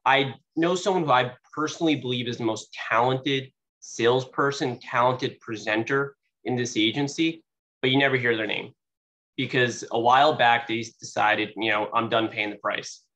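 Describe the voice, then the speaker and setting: A young man speaks at a sales meeting in a bright, forceful voice and a loose, casual manner, full of fillers. He sounds a little sniffly from allergies. The recording is slightly dull and lo-fi. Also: mid-range voice, normal speed. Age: young adult. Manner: casual, many "you know" fillers. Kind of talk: motivational speech. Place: meeting room.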